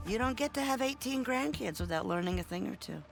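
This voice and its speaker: Game role: spry great-grandmother, higher-pitched, folksy and wry, sharp-witted, music underneath. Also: lively intonation, a little fast, vocal fry. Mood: knowing.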